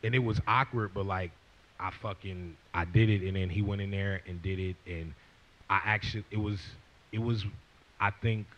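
A man's voice: low-pitched, steady tone, moderate pace, highs cut off. A young man in his twenties telling a long profane story, acting out what people said, on a stage with a handheld mic. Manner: profane, rambling, acts out quoted lines. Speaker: guest rapper. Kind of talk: casual storytelling. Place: stage with leather couch before audience.

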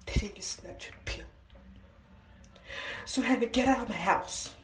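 A woman's voice: a little high, measured pace, natural voice. A woman playing an angry, commanding figure in an amateur audio drama, speaking dramatically in an echoey recording. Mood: bitter anger. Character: angry woman throwing someone out of her house.

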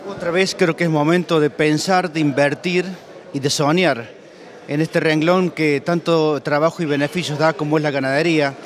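A middle-aged man with an Argentine accent, presenting and interviewing as an agricultural TV journalist in measured, formal phrases. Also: a little high, fairly even intonation. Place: large indoor event hall. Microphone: handheld interview microphone.

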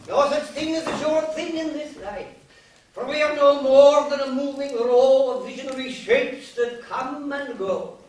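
An old Irish war veteran, eloquent and haunted. His voice rides very high and he declaims slowly. It rings from a distance across a very echoey theatre stage. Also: slight background noise. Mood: defiant, lofty. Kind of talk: live stage play acting.

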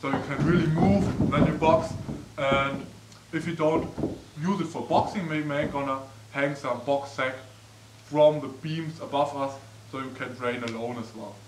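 Young man, casual tour talk, slightly high voice, echoey wooden barn, distant mic with faint hiss. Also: fairly even intonation, unhurried. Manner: chatty, frequent ums, gestures while walking. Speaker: vlogger giving a tour. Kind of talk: casual walkthrough narration. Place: large old wooden barn with hay. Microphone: camera mic at a distance.

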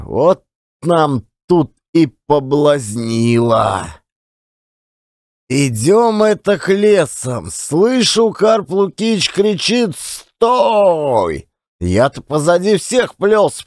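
A male storyteller with a high voice narrates in a folksy, colloquial way. He takes his time, and his intonation swings widely. It is a dramatic reading of a classic tale. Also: darkish tone, no echo, clean studio sound. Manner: folksy, colloquial yarn-spinning. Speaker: audiobook narrator, actor.

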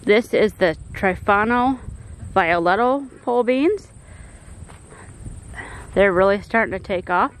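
A woman's voice: medium pitch, takes it slowly, long pauses, low-quality recording. A middle-aged woman giving a casual tour of her backyard garden and greenhouse, explaining plants in an easygoing way with frequent ums and uhs, filming on a handheld phone outdoors.

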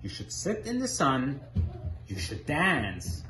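Cheerful, pushy storybook animals, acted out by a man, coax with sing-song suggestions. The pitch leaps about, and the room is echoey with slight noise. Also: huge pitch swings, takes it slowly, pushed and bright, full character voice. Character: cheerful, pushy jungle animals urging a friend. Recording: roomy living room, background noise.

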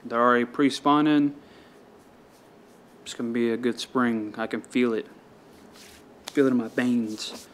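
A man's voice: mid-range voice, unhurried, pauses often. A man, talking casually and slangily as he films a fishing vlog outdoors by ponds. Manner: laid-back, slangy, excited over catches. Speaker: fishing vlogger. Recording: noisy outdoor camera audio.

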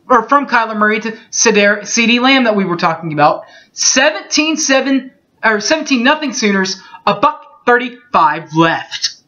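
A man in his thirties reading through postgame football stats from his bedroom, steady and methodical, with small slips he corrects as he goes. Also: very high voice. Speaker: sports fan recapping a game. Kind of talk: webcam postgame stats recap. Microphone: webcam mic. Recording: dull lo-fi webcam audio.

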